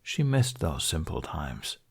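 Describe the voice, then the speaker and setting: A man's voice: extremely low pitch, very animated, slow, breathy edge. A man narrating a fantasy audiobook, reading scripted storytelling prose.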